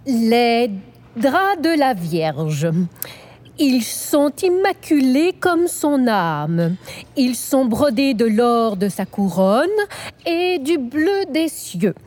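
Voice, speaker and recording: A woman's voice: high-pitched, big pitch swings, extremely slow, darkish tone, exaggerated voice. An actress playing an earnest young woman reciting a devotional text. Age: young adult.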